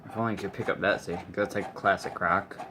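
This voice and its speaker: Guy with a lowish voice casually showing gear, halting, thinking out loud, noisy hum behind. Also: fairly even intonation. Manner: offhand, halting, thinking aloud. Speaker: video creator demonstrating a vintage radio. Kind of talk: casual unscripted demonstration.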